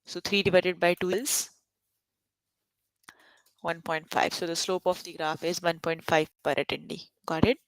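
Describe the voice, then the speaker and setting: A woman's voice: lowish voice, fairly even intonation, measured pace, long pauses, no room sound, low-quality recording. A young adult woman teaching a live online math lesson over a computer mic, stopping often to check that students follow. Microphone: computer mic on an online call.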